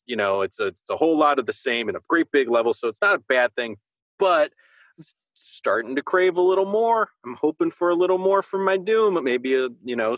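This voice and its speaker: A man's voice: a little high, a bit bright, dry recording, poor audio. A man co-hosting a podcast, chatting casually about a video game and rephrasing as he thinks aloud. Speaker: podcast co-host.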